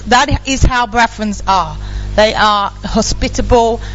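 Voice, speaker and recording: A woman's voice: fairly high pitch, takes it slowly. A woman giving an earnest, appreciative spoken tribute to someone at a gathering. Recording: dull sound, clear music behind.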